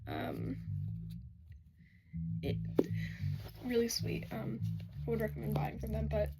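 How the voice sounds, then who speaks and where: A young woman with a hoarse, raspy voice she says she has lost, rambling casually through a pet Q&A vlog at home with lots of ums and apologies. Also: huge pitch swings, slow, rough recording. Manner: rambling, self-correcting, many "um"s, apologetic. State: hoarse, losing her voice. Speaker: pet vlogger answering questions. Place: bedroom at home. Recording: home recording with loud bass music behind. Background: loud bass guitar playing.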